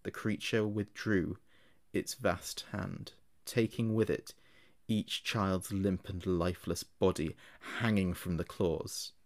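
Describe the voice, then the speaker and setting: A man narrating a scripted horror story in a measured, dramatic and ominous manner. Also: low voice, steady tone. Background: music.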